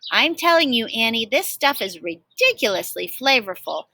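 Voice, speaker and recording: Lady doing a hyped up tv chef guy from a storybook, raving in long drawn-out shouts, high and bright. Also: sing-song, no room sound, exaggerated voice. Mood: excited raving. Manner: booming catchphrases, drawn-out exclamations. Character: exuberant male celebrity TV chef, loudly raving about food.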